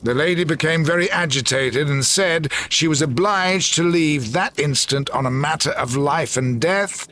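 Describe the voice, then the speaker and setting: An actor playing a grim, vengeful old general in a gothic audio drama, speaking formally and commandingly. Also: male voice, a little high, normal speed, few pauses, sharp tone, slight background noise. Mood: grave, haunted.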